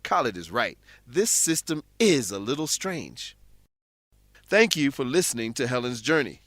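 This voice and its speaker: Animated man teaching English. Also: medium pitch, unhurried, no echo, clean studio sound. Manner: didactic, clearly enunciated. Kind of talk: scripted educational narration.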